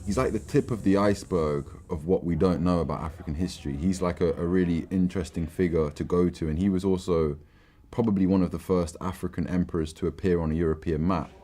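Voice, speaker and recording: A man's voice: low-pitched, steady tone, fast, dark tone. A twenty-two-year-old man, a filmmaker talking thoughtfully in a documentary interview and often restarting his sentences. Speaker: filmmaker and platform founder.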